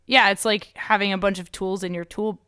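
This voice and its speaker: Woman podcast host and metal singer, crisp resonant voice, dry close sound, encouraging casual chat. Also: medium pitch, steady tone, a little fast, pushed and bright, no room sound. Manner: encouraging, chatty with filler phrases.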